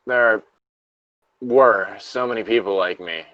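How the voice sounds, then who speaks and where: A man reading a diary log as a trapped, dying factory worker, halting, weary and anguished. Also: on the low side, no echo, highs cut off. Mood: sorrowful. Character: dying, despairing male factory worker trapped under rubble.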